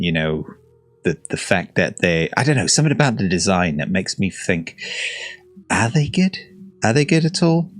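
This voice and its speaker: A man's voice: deep voice, dry recording. A man hosting a casual reaction video, rambling and hesitant, often searching for words. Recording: dry close voice over faint game music. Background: faint game music.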